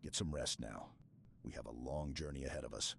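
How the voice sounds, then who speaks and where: Casting: male actor for protective super-soldier commander in ASMR roleplay, rock-bottom pitch, stoic, steady, reassuring military clip, faint ambience behind. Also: fairly quick, heavily acted. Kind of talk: ASMR roleplay, scripted dramatic monologue. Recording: clear background ambience noise.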